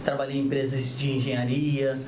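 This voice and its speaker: Relaxed man, echoey room. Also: medium pitch, brisk. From Niterói, Rio de Janeiro state, Brazil. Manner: relaxed, many restarts and tag questions. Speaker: accountant and tax coordinator. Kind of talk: interview guest telling his career story. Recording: echoey room, faint noise.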